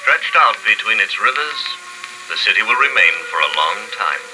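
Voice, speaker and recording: An actor playing a detached observer chronicling mankind's end, with solemn, clinical, declamatory 1950s radio drama narration. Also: male voice, on the low side, big pitch swings, moderate pace. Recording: old radio recording, faint noise.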